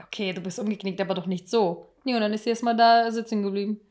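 A woman's voice: mid-range voice, steady tone, fast talker, darkish tone. A woman chatting casually as a co-host, in a colloquial and playful way. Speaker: podcast co-host. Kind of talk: casual chat.

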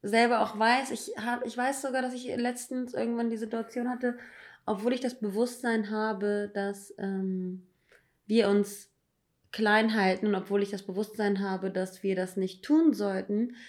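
A woman in casual conversation, thinking out loud emphatically and using slang. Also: mid-range voice, fairly even intonation, measured pace. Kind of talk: casual chat.

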